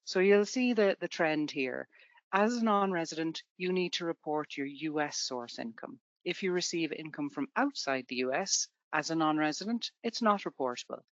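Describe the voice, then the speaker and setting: A woman's voice: low voice, expressive, normal speed, no echo, highs cut off. A woman from Ireland with an Irish accent, presenting a tax webinar to students in a conversational way with everyday examples.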